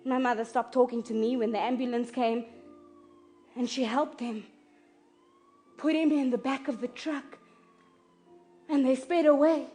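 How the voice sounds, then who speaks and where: A woman playing a shaken child who tells a story in an earnest, halting, repetitive and emotionally intense dramatic monologue. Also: high voice, fairly even intonation, mellow. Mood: subdued, sorrowful. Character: shaken child earnestly recounting a violent night at home.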